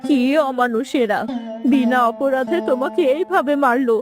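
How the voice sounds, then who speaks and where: A woman's voice: high-pitched, moderate pace, over music, close to an everyday voice. A woman playing a poor villager's devoted, indignant wife, lamenting in a cartoon fairy tale. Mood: aggrieved.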